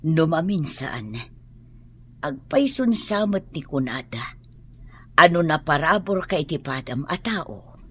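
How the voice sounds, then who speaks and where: A woman's voice: deep voice, sing-song, slow, long pauses, husky, full character voice. A woman playing an aching, complaining mother with a Filipino Ilocano accent in a comic radio drama, plaintive and given to exclaiming. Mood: relieved, grateful. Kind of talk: radio drama comedy acting.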